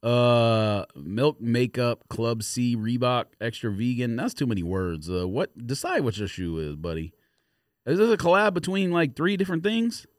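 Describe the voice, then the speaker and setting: A man with a very animated, swooping delivery in a dry, close recording. He talks like a casual podcast host, slangy and blunt, teasing as he runs through his list. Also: medium pitch, normal speed, no room sound. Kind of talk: casual banter reviewing sneaker releases.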